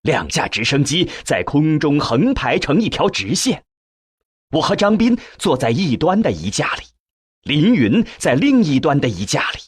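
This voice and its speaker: A man reading a novel as a scripted narration, with measured, expressive storytelling and a husky voice. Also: on the high side, no room sound, studio quality. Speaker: audiobook narrator.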